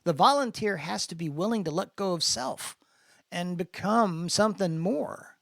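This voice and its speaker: A man's voice: sits high, unhurried, fairly bright tone, no room sound, clean studio sound, voice pushed up. A man voicing an eager, idealistic younger brother in a dramatic audiobook, explaining things in an earnest, casual way. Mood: resolute, earnest. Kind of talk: dramatic audiobook character dialogue.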